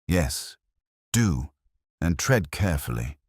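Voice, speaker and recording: A very low-voiced, gruff police superintendent, read by a male narrator in a detective story. He is curt, grumbling and suspicious, with a weary irritability in a dry, close recording. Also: big pitch swings, takes it slowly, a little mellow, no room sound, full character voice. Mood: grumbling warning.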